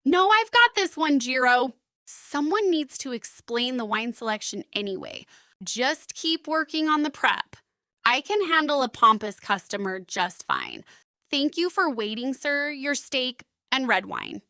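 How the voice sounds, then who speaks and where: Woman as no-nonsense big sister in an animated story: high forceful voice, proud, firm, formal. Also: unhurried, dry recording, studio quality, exaggerated voice. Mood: brisk, confident. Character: blunt, proud older sister running a diner. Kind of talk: animated story character acting.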